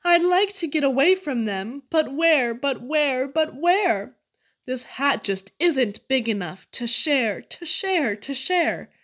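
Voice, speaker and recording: A woman reads children's verse expressively. Her voice sits high for a woman, and she goes slowly through each line. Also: lively intonation, a bit bright. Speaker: poetry reader. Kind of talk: expressive children's poetry reading.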